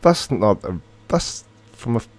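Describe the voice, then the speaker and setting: A man's voice: mid-range voice, sing-song, measured pace, no echo. A middle-aged man from Wales with a Welsh accent, casually chatting and reading viewer comments aloud on a livestream. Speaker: livestream host.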